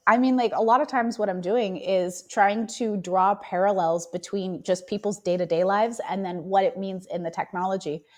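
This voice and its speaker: A woman guest with a cold and a raspy voice, explaining her field in a thoughtful, casual interview. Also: mid-range voice, fairly even intonation, normal speed, few pauses, darkish tone. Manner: thoughtful, explanatory, laughs easily. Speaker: AI ethicist guest.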